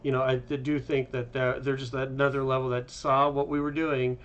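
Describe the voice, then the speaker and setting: A man's voice: medium pitch, flat delivery, moderate pace, fairly bright tone. Informal man host, slightly dull sound. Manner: conversational, informal. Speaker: host, game developer. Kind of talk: casual chat.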